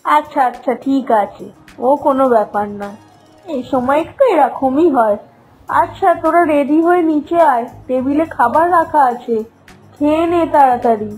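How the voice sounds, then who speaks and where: A woman voicing a kind, doting mother-in-law in an animated fairy tale, gentle and reassuring. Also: sits high, normal speed, faint music behind, natural voice, poor audio. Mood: indulgent.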